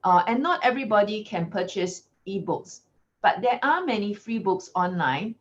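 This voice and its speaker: A woman from Singapore with a Singaporean English accent, giving an online lecture in a clear, measured teacherly way. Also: mid-range voice, animated. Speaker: guest lecturer. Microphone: video call. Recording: lo-fi video call audio.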